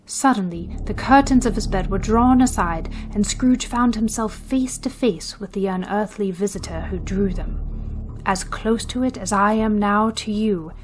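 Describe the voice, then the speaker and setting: A woman narrating a ghost tale for radio drama, grave, suspenseful and deliberate. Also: mid-range voice, a little hiss or hum, close to an everyday voice, low-quality recording. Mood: measured, suspenseful. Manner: measured, deliberate.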